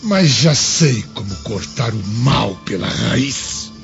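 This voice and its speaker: A haughty, menacing Roman ruler in an audio drama. He declaims slowly and pompously in a creaky voice. The sound is dull, with music underneath. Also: medium pitch, expressive. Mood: bitter, scheming. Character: arrogant, vengeful Roman governor addressing aides and crowds. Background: background music.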